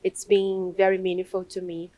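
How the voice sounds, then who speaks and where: A woman's voice: mid-range voice, monotone, moderate pace, mellow, no echo. A young woman from Brazil with a Brazilian accent, giving a hesitant conference presentation at a podium, with many restarts and fillers. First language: Portuguese. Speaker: conference speaker. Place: large conference hall, at a podium.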